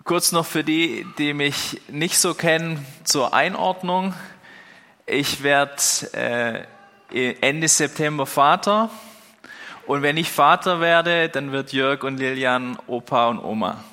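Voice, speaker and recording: Bright, forceful young preacher, man. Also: a little high, slow. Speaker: pastor. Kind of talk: sermon. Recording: long hall reverb.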